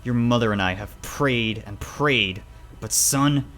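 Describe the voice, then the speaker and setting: A man's voice: medium pitch, takes it slowly, fairly bright tone, faint music behind. A man voicing a stern, pious animal father in a dramatic story, scolding and accusing with disgust. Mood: cold disgust.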